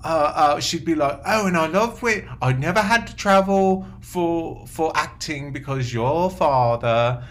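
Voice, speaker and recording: A man playing a timid, wounded therapy client in improvised comedy, halting and hesitant, with a childlike sadness. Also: a little high, a bit bright. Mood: fond, animated. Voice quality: halting, stammering. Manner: meek, apologetic, hesitant. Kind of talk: improvised comedic character acting.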